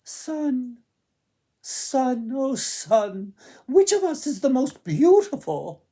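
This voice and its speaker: A storybook pair of vain, mean sisters, acted out by a man, call out in a chanting plea. The voice is pitched very high and swells with eager, drawn-out words. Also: sing-song, dark tone, heavily acted. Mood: pleading, vain. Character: two vain, mean older sisters pleading to the sun.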